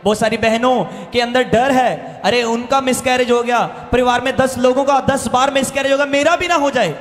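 A man's voice: very high voice, steady tone. A man preaching an impassioned, emphatic sermon into a handheld microphone, repeating phrases for weight. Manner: emphatic, repeats phrases, slows for quoted verses. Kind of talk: impassioned sermon mixing Hindi and English. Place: church hall stage. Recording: reverberant hall PA with music behind. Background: music.

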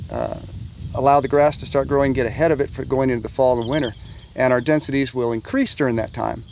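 Older farmer speaking outdoors, faint background noise. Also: male voice, mid-range voice, a little mellow. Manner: practical, plain-spoken, with fillers. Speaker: cattle farmer. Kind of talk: casual explanation. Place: open pasture outdoors.

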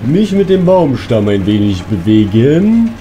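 A man's voice: mid-range voice, very animated, a little slow, mellow, slight background noise. A man giving casual let's play commentary, talking to himself with crude exclamations. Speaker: let's player. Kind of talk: casual video game commentary. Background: video game music.

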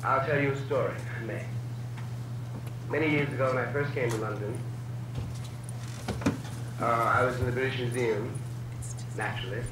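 A man telling a reflective story in a measured, ironic way, heard from an old film clip. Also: mid-range voice, steady tone, echoey room, recorded from a distance, background noise. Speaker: speaker in an archival film clip. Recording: old film clip played in a room from afar.

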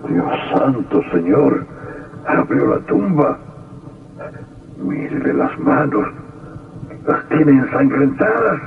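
Scared distraught man in old horror radio show, creaky agitated voice, muffled n echoey. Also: mid-range voice, sing-song, takes it slowly, long pauses, far from the mic, a little hiss or hum, heavily acted. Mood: shocked, fearful. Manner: agitated, faltering, pleading.

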